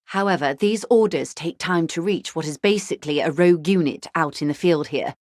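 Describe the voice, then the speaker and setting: A woman playing a composed, world-weary corporate negotiator in a video game, speaking in a measured, articulate and formal way. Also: deep voice, darkish tone, no echo, studio quality, lightly acted. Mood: grave, diplomatic, guarded.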